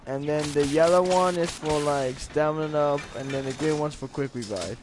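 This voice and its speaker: A man's voice: a little high, normal speed, background noise. A young man in casual game chat with teammates while streaming, slangy and foul-mouthed, talking into a headset. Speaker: gamer live-streaming a co-op game. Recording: game audio under voice. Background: game music and effects.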